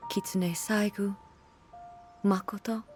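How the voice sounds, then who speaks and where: A woman voicing a dignified female immortal ruler in a video game, speaking formally and gravely in measured phrases. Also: mid-range voice, fairly even intonation, a little mellow, close to an everyday voice. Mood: sombre, reflective. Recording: game audio with background music. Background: game music.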